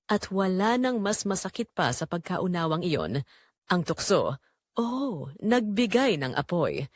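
A woman's voice: lowish voice, very animated, a little slow, dry recording, studio quality. Woman narrating drama, widely varied intonation. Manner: emotive, sorrowful storytelling. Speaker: first-person story narrator.

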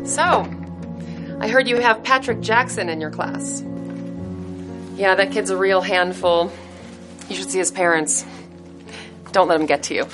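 An actress playing a friendly, chatty fellow teacher welcoming a newcomer, in a classroom. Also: female voice, mid-range voice, measured pace, long pauses, music in the background, lightly acted. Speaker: science teacher. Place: classroom indoors. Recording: film score underneath.

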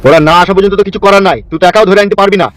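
Man acting a rapid-fire irritable high-voiced gang boss. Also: a bit bright. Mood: impatient. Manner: scolding, coarse, impatient. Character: irritable foul-mouthed gang boss, a grumbling big brother. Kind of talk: Bengali comedy cartoon dubbing.

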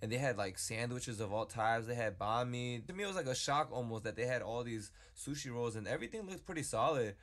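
A man's voice: medium pitch, expressive, fairly quick, few pauses, a bit bright. A young man narrating his vlog in a slangy, conversational way. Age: young adult. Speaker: vlogger. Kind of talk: casual vlog voice-over.

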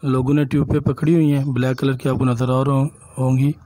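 A man, a village vlogger from Punjab, calmly explaining sights to viewers while he walks outdoors and films on his phone. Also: mid-range voice, a little fast. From Punjab, Pakistan. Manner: calm, explanatory, addresses viewers directly. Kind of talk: walking tour narration.

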